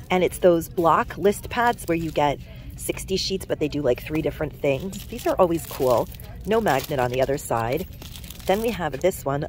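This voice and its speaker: A woman hosting a shopping vlog, chatting enthusiastically about products as she walks store aisles with a handheld phone. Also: low-pitched. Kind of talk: casual shop-with-me chat to camera. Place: discount store aisles, indoors.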